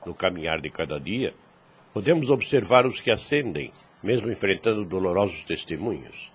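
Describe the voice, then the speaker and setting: A man's voice: deep voice, expressive, moderate pace, husky, full character voice. An actor playing a wise, fatherly priest in a radio drama, solemn and preachy, in formal archaic phrasing.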